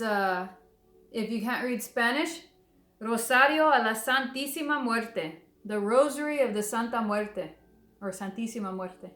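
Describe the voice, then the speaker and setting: A woman speaks casually and at length to her viewers, circling back on her words and leaning on frequent fillers. Her voice sits a little higher than most, and the room around her gives it a clear echo. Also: fairly bright tone. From southern Texas near the Mexican border. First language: English. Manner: chatty, many fillers and restarts. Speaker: devotional video creator. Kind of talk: casual talk to camera.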